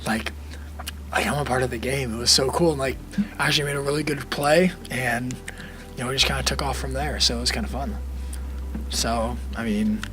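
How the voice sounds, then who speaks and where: Hoarse young man with a cold. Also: medium pitch, fairly even intonation, a little fast, over music. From Colorado, USA. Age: young adult. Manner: rambling, easygoing, many fillers. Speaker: college baseball player. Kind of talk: casual interview answers.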